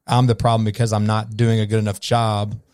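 A man's voice: on the low side, completely flat delivery, brisk, darkish tone, dry recording, studio quality. A man from Memphis, a guest on a podcast, talking earnestly and thoughtfully and often restarting his sentences. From Memphis, USA. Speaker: guest, coach. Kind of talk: reflective podcast conversation.

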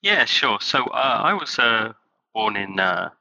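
A man from England, a podcast guest, answering in a halting, thoughtful way with frequent fillers. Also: on the low side, big pitch swings, gravelly, bright, forceful tone, dull audio. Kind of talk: interview conversation.

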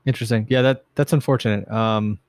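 A man's voice: on the low side, fairly even intonation, quick pace, darkish tone. A man chatting casually as the interviewer on a podcast.

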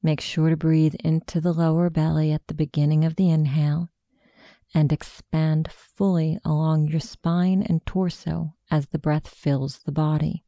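Woman, meditation guide, slow-paced, very dark soft tone, soothing, close and dry. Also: low-pitched, flat delivery, no room sound.

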